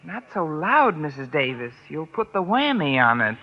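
A woman's voice: sits low, sing-song, moderate pace. An American actress playing a wry, sardonic single woman teacher with dry wisecracking delivery in an old radio sitcom. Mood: dry banter. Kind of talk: 1940s-50s radio sitcom acting. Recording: dull, noisy old radio recording.

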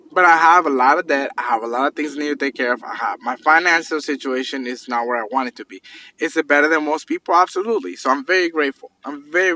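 A man's voice: medium pitch, sharp tone, a little hiss or hum. A man of thirty talking earnestly and casually to his listeners, repeating himself and restarting often as he goes. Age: 30s. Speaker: podcast host and entrepreneur. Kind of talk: casual motivational monologue.

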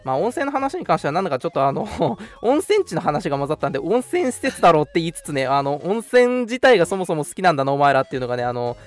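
A man's voice: a little high, big pitch swings, rapid-fire, few pauses. A man hosting a casual radio show, chatting politely and making announcements over background music.

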